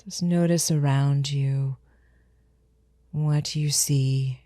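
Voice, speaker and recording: A woman leading a guided meditation in a soothing, unhurried manner, giving gentle instructions. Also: rumbling low, steady tone, dry recording, studio quality.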